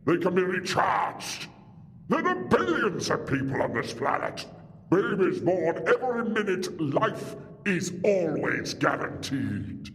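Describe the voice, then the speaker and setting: A man's voice: high voice, huge pitch swings, takes it slowly, a bit bright, full character voice. A man playing a grave, ruthless alien warlord in an audio drama, solemn and declamatory, embittered by war. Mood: cold, proud. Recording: long reverberant hall, faint music.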